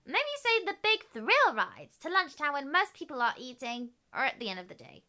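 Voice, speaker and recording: Very high, bright young female tour presenter. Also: very animated, moderate pace. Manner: perky, exclamatory children's presenter delivery. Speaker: junior reporter presenting a park tour. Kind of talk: scripted promotional tour narration.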